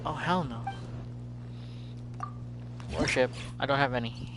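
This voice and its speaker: A man's voice: mid-range voice, slow, background noise. A man doing casual live gameplay commentary as a streamer, muttering to himself and repeating his phrases. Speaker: game streamer.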